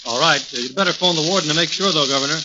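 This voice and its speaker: An actor playing a dutiful governor's aide in a 1940s radio drama. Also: male voice, a little high, racing, very noisy, close to an everyday voice. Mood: dutiful, concerned. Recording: dull vintage radio recording, music behind.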